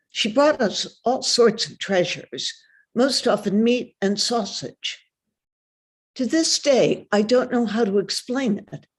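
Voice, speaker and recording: A woman reads a first-person story in a measured storytelling manner. She takes her time, with a slow pace and a medium-pitched voice. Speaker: reader. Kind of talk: dramatic reading of a first-person memoir-like story.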